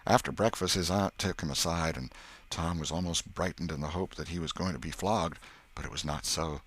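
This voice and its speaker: A man's voice: deep voice, brisk, a bit bright, husky. A man with an American accent narrating a classic novel as an audiobook storyteller.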